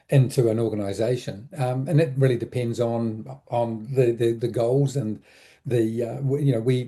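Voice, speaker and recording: Very mellow, dark-voiced man explaining thoughtfully. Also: mid-range voice. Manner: reflective, halting, repeats words and rephrases as thinking aloud. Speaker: interview guest, business advisor. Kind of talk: conversational explanation in an interview.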